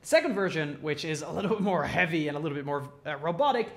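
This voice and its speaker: A man's voice: fairly high pitch, big pitch swings, fast talker, fairly bright tone. A man hosting a music production tutorial in a casual, informal and enthusiastic way.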